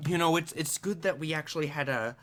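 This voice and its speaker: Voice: medium pitch, fairly quick, a bit bright, voice pushed up, natural voice. A game master voicing a polite, officious elderly woman who guides museum tours, with a formal, hesitant manner.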